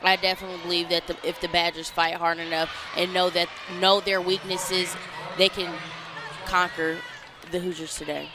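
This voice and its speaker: A man's voice: sits high, flat delivery, normal speed. A man working as a color commentator, giving live basketball analysis. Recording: noisy gym with crowd behind. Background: crowd and bouncing basketballs.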